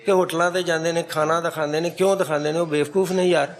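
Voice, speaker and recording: A man's voice: on the high side, little pitch movement, quick pace. A man with a Pakistani Punjabi accent talking informally and insistently, putting rhetorical questions as he argues. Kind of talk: informal argumentative talk.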